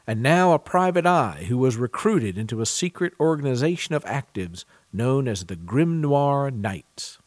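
A man's voice: mid-range voice, dry recording. A man hosting a book podcast, moving between interview chat and scripted announcements. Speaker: podcast host, publisher.

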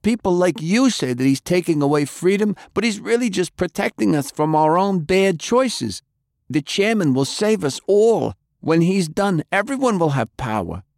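A man's voice: high voice, sing-song, moderate pace, gravelly, a little mellow, no echo, clean studio sound, pitched above the performer's own voice, full character voice. A man voicing a fanatical cult follower in an audiobook, preaching defiantly and taunting like a zealous thug. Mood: fervent zeal. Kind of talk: audiobook character acting.

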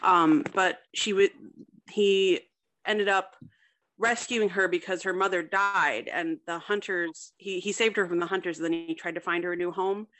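A woman's voice: lowish voice, steady tone, normal speed, fairly bright tone, low-quality recording. A woman guest author speaking casually in an interview, hesitantly piecing her explanations together. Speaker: guest author discussing own story.

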